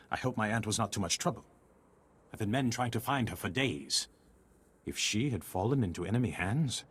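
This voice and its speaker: An actor playing a grateful, courteous male army captain in a video game, speaking formally and earnestly with a husky voice. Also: mid-range voice.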